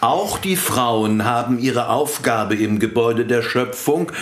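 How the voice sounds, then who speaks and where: An actor in a German radio play playing a wry, conservative vicar with a measured, patronising manner. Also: male voice, mid-range voice, crowd noise, lightly acted. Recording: roomy, echoing interior sound.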